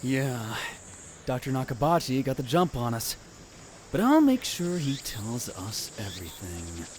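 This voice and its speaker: A man voicing a dramatic young self-styled mad scientist, narrating in the first person in a hushed, grave, theatrical way. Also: medium pitch, sing-song, measured pace, slight background noise. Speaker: narrator and lead in fan dub. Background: music.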